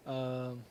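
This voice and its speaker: A man's voice: medium pitch, slight background noise. A man whose first language is Georgian, hosting a stream in casual chat, laughing often and rambling with fillers. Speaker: stream host.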